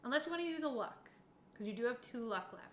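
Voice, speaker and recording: A woman's voice: fairly high pitch, expressive, fast talker. Woman game master in an echoey room. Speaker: game master running a tabletop horror game. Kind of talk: casual game narration and rules talk. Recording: echoey room with faint background noise.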